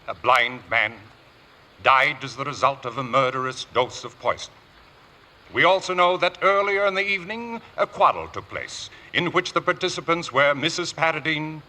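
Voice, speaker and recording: A man's voice: on the high side, bright, forceful tone. A man playing a grave, insistent Crown prosecutor in a radio courtroom drama, speaking with formal, rhetorical emphasis.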